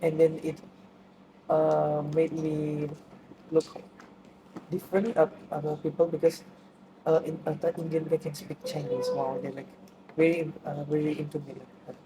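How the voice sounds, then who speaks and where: A man's voice: a little high, little pitch movement, moderate pace, noisy background. A young man from Malaysia whose first language is Tamil, answering interview questions about the languages he speaks with many fillers and restarts. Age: young adult. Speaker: interviewee, student.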